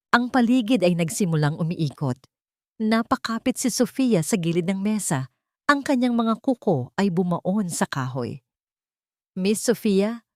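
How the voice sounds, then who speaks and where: A woman's voice: lowish voice, a little mellow, dry recording, clean studio sound. A woman reading a scripted dramatic story as the narrator of an audio drama.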